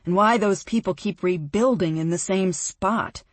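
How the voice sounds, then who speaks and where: A woman voicing a blunt businessman who talks in a hushed, gruff way. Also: lowish voice, measured pace, no room sound. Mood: disgusted. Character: brusque businessman, blunt and profane.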